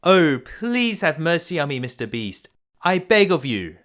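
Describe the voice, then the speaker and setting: A man's voice: fairly high pitch, sing-song, a little slow, fairly bright tone, voice pushed up. A man voicing a kindly, meek merchant father in a children's fairy tale, in a mild, obliging manner. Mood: frightened, begging.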